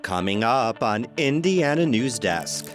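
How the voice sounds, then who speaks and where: A man's voice: mid-range voice, very animated, slow pace, music in the background. A man anchoring a local news program with scripted delivery.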